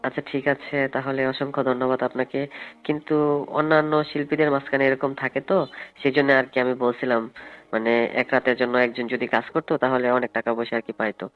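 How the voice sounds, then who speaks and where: A man's voice: mid-range voice, level tone, fast, natural voice. Tinny-phone actor as insistent rich male client. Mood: hesitant, probing. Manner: hesitant, roundabout, persuasive. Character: wealthy male event client, politely insistent, propositioning. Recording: tinny phone line with music behind.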